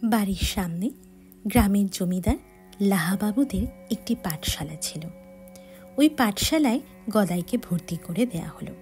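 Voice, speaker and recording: A woman tells a children's devotional story at an unhurried, slow pace, with a gentle, measured delivery. Her voice sits at a middling pitch and now and then turns soft and breathy. Faint music plays quietly underneath her. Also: lively intonation. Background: faint background music.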